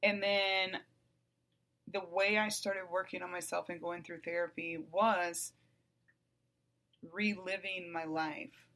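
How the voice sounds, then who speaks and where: Woman, 40s, podcast host. Solo monologue, calm and even with blunt, profane edges. Crisp, bright tone. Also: fairly low pitch, slow pace, pauses often.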